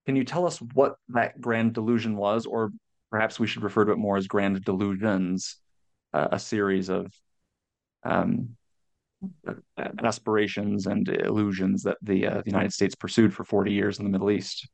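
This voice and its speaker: A man hosting an interview, putting questions in a measured, formal, somewhat halting way. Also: on the low side, flat delivery, gravelly. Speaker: interviewer, podcast host.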